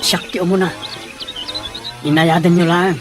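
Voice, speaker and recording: A man's voice: high-pitched, level tone, unhurried, over music. A man playing a protective, cautious father leading his family in a radio drama. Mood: cautious, reassuring.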